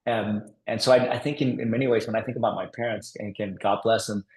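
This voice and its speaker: A man in his forties from Denmark, a guest on a podcast interview, telling his story in an upbeat, quick way with many restarts. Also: on the low side, fairly even intonation, darkish tone, roomy sound. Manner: fast, upbeat storytelling with frequent restarts and laughs. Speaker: podcast guest, marketing executive. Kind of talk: casual interview talk.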